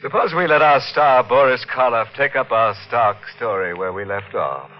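A man playing a ghoulish, mocking horror host in tongue-in-cheek old-time radio style, droll and drawn-out, on a muffled recording. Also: medium pitch, lively intonation, noisy background, natural voice. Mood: gleefully morbid. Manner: droll, punning, drawn-out delivery.

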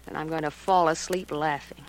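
An actress in a 1940s radio drama playing a jealous wife who makes cool, deliberate threats. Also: female voice, low voice, fairly even intonation. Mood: cold, mocking. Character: jealous, menacing wife holding a gun. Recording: noisy old 1940s radio recording.

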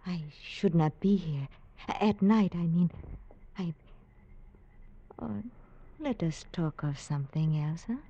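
Soft halting island girl, mellow voice, dull old radio sound. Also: fairly low pitch, a little hiss or hum. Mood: coy. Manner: soft, halting, formal speech. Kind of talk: 1950s radio drama acting.

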